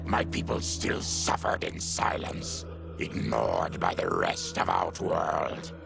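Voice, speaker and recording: Game epilogue role: a grave, afflicted outcast, very low gravel voice, solemn narration. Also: male voice, very animated, full character voice. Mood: somber. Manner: solemn, measured. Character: grave male Tarkatan outcast, afflicted, devoted to his people. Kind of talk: scripted character epilogue narration. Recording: loud music underneath. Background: music.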